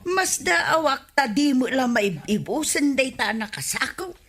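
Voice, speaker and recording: Nosy suspicious village neighbor, husky n kinda high, ilocano accent, radio comedy. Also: female voice, big pitch swings, measured pace, fairly bright tone, exaggerated voice. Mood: knowing, suspicious. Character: gossipy village neighbour woman, knowing and suspicious. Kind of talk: broadcast radio comedy drama acting.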